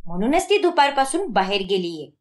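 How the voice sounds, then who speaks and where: A woman's voice: fairly high pitch, very animated, fairly quick, voice pushed down. A woman performs a stern middle-aged cartoon mother. She scolds with emphasis and sounds strict and easily exasperated, the head of a household laying down rules. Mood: scolding. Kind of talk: cartoon moral story acting.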